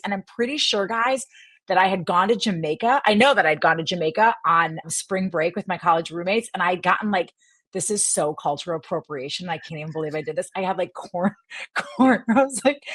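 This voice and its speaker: A woman's voice: mid-range voice, breathy edge, no echo. A woman in her forties from Wisconsin, a sports journalist guest, telling stories with lots of laughs. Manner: animated storytelling, laughs often. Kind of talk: casual chat.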